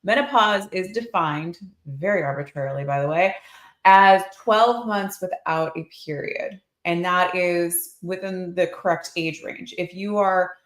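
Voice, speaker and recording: A woman's voice: fairly low pitch, unhurried, a bit bright. A woman in her forties with an American accent, chatting informally and expressively to livestream viewers as a nurse practitioner hosting a Q&A. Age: 40s. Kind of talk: casual livestream Q&A chat. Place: indoor clinic room under construction. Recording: echoey, roomy livestream sound.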